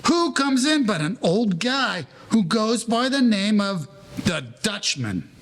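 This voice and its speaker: Man as a gravelly, high-pitched wry narrator. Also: big pitch swings, slow pace, fairly bright tone, a little hiss or hum, full character voice. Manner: mannered, wry, formal slang. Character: wry male 1940s storyteller of gangster tales. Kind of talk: scripted period story narration.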